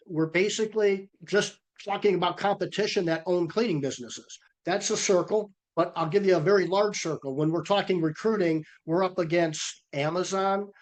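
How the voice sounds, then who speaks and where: A middle-aged man presenting a webinar on a video call, a bit hoarse from a cold, with a folksy, energetic sales-coach delivery. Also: high-pitched. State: losing his voice from a cold.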